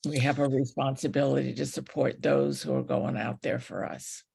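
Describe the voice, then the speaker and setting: A man's voice: medium pitch, steady tone, normal speed, gravelly, darkish tone, slightly rough. A man moderating an online meeting, talking informally and adding his own comments. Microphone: video call.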